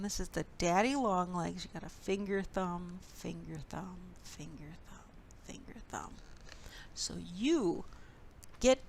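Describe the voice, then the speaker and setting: A woman's voice: medium pitch, vocal fry. A woman leading storytime for young children as a librarian, speaking in simple, instructive phrases and reading expressively. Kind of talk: storytime for young children, with rhymes, songs and read-aloud. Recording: steady background noise.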